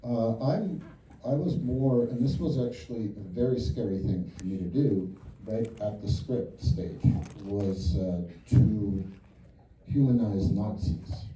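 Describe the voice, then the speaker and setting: A man with a low, dark, mellow voice talks through his ideas in a loose, rambling way, full of fillers and false starts. He is picked up from a distance in a reverberant cinema hall. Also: lively intonation, takes it slowly, slight background noise. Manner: rambling, thinking aloud with many fillers. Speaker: film director. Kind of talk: post-screening Q&A. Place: cinema auditorium. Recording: echoey theater from far off.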